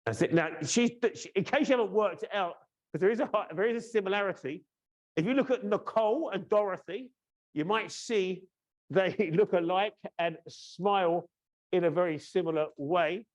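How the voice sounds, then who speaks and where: Man in his fifties, from the uk, webinar host, higher-pitched, chatty, teasing. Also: normal speed. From United Kingdom. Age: 50s. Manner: chatty, teasing the audience, frequent "yeah?" checks. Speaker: course trainer and webinar host. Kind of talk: casual webinar presentation. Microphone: video call.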